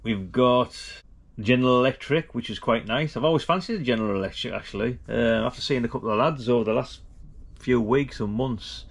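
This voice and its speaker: A man's voice: medium pitch, brisk, gravelly. A middle-aged man, chatting casually and informally as he hosts a vlog. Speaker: vlog host.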